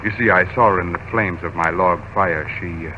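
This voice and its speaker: An actor playing a suave, calm male stage magician in an old radio drama, smooth and teasing. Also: deep voice, brisk, lo-fi sound, close to an everyday voice. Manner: smooth, urbane, teasing composure. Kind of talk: 1930s radio drama acting. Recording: old radio broadcast with hiss.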